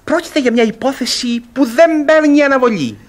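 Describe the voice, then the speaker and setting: An actor playing an agitated, self-satisfied, mocking brother in a classic farce, in a theatrical radio style with sarcastic, emphatic exclamations. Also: male voice, very high-pitched. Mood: urgent. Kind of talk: theatrical Greek radio comedy acting.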